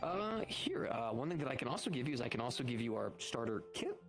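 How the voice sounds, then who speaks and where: Wordy man pitching gear over music. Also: very animated, quick pace. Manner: wordy, explanatory, salesman-like patter. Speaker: roleplayer running a guild shop in a social VR roleplay. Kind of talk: improvised in-character roleplay explanation. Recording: music playing behind the voice.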